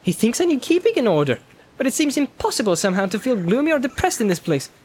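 A man's voice: very high-pitched, sing-song, quick pace, darkish tone, breathy edge, voice pushed up, exaggerated voice. A man reading aloud, voicing a young male hobbit who is boisterous and teasing.

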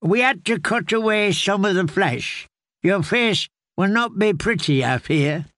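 A man voicing a kindly, courteous old man in rags who tends wounds, in a slow, soothing storybook reading. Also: high-pitched, lively intonation, fairly bright tone, no echo, studio quality, voice pushed up. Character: kindly old ragged man, gentle healer.

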